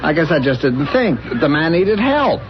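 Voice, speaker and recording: An actor playing a meek, kindly, flustered bank teller with a hesitant stammer, in a 1970s radio drama. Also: male voice, fairly high pitch, sing-song, normal speed, noisy background. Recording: muffled old radio recording, music behind.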